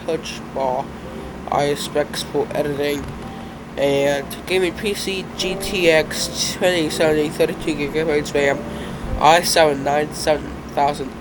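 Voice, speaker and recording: A young man talks casually over videos at his desk, his voice a bit high and congested from a cold. He rambles in fits and starts, louder and softer by turns. A steady hiss of background noise sits under the home recording. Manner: rambling, halting, uneven loudness. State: stuffed up from a cold. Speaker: reaction video host. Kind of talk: casual reaction commentary. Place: indoors at a computer.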